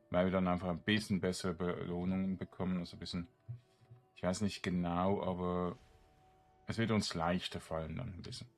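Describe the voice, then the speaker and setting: A man giving casual, halting game commentary, rambling as he thinks aloud. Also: deep voice. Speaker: let's player. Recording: game music behind the voice. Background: video game music.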